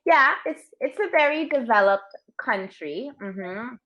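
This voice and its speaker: A woman from New York with a New York accent, an online English tutor speaking slowly and clearly on a video call, encouraging and gently correcting. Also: on the high side, expressive, lo-fi sound. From New York, USA. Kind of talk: slow, clear tutoring conversation. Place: home teaching room with toys.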